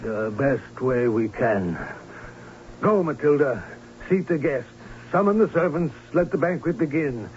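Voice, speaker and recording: A man's voice: medium pitch, lively intonation, a little slow, darkish tone, lightly acted. An actor playing a proud, fond baron father with a formal, theatrical manner in an old-time radio drama. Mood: grave, resolute. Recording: dull, noisy old radio recording.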